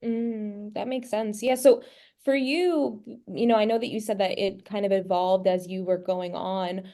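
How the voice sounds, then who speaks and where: A woman's voice: mid-range voice, steady tone, a little slow, dark tone. A woman interviewer asking questions in a casual, upbeat and encouraging manner.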